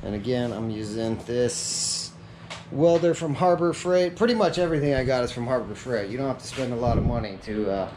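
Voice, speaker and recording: A man's voice: medium pitch, lively intonation, fairly quick, darkish tone. A middle-aged American man narrating a hands-on DIY job in a casual, conversational way. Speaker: DIY vlogger. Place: home garage and truck cab. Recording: action-camera audio with clear background noise.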